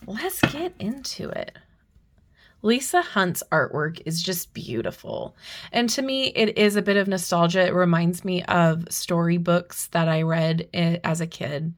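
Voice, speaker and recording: Slow-talking woman reviewing a tarot deck. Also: lowish voice. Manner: soft, admiring, unhurried remarks. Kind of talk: unboxing commentary.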